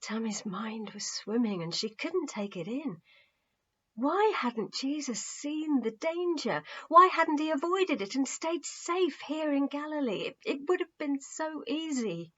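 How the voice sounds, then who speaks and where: A woman reading a story as a dramatic narrator, expressive and acting out the moments, on a muffled home recording. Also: high voice, unhurried. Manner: expressive, acts out dialogue. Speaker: author reading own book.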